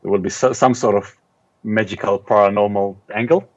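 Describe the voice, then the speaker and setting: A middle-aged man from Israel, an author being interviewed, speaking in a thoughtful, casual way with many pauses and ums. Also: fairly low pitch, fairly even intonation, lo-fi sound.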